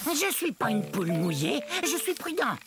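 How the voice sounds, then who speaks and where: A woman's voice: fairly high pitch, very animated, moderate pace, over music. A woman voicing a nervous, defensive male feathered dinosaur in a children's cartoon, flustered and self-justifying. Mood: defensive, determined. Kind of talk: animated children's educational cartoon acting.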